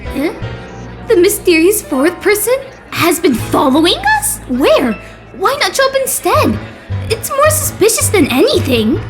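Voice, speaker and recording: Woman as an excitable high-pitched boy idol. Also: measured pace, faint music behind, heavily acted. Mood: alarmed. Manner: gushing, dramatic. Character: excitable young male idol fanboy, eager, easily alarmed. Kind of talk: fan dub anime game voice acting.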